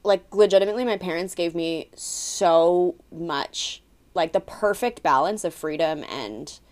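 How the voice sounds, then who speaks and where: A woman's voice: lowish voice, unhurried. A woman in her late twenties chatting casually as a podcast co-host, swearing freely, laughing often and filling her talk with "like". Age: late 20s. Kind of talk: casual chat.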